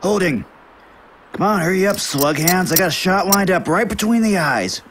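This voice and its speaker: A man's voice: high voice, expressive, moderate pace, slight background noise. An actor playing a male adventurer from a fantasy world in an audio drama. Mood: urgent.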